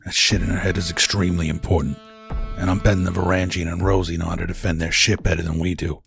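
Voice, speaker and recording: An actor playing a stubborn, hard-boiled detective in a sci-fi audio drama, gruff and insistent. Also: male voice, low-pitched, little pitch movement, fast, fairly bright tone, soft background music. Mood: firm, insistent.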